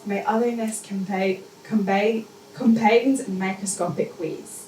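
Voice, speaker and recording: A woman reading her spoken-word poetry at a live event, with measured, deliberate phrasing and pauses. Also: medium pitch, fairly even intonation, warm tone. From Scotland. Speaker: poet. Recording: echoey, noisy room, mic distant.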